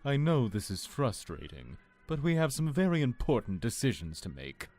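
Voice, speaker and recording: A man playing a commanding, calculating lord in an audio drama, with a measured, formal and authoritative manner. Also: mid-range voice, huge pitch swings, a little mellow. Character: commanding male lord, calm, calculating leader. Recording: music under the voice.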